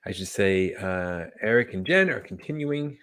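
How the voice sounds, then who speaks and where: A man co-hosting a casual livestream, asking short, relaxed questions and greeting viewers. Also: sits low, normal speed. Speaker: livestream co-host.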